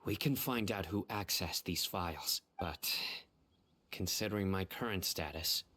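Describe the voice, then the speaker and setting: Deep-voiced, stern investigator. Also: male voice, pushed and bright, full character voice. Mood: grave, determined. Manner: measured, serious, deliberate. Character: stern, composed male investigator, resolute and righteous. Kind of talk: video game voice acting.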